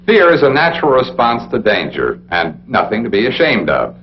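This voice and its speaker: An American man narrating a 1960s military training film in an authoritative, deliberate, instructional way, speaking straight to the listener. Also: medium pitch, big pitch swings. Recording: dull, noisy 1960s film soundtrack with hum.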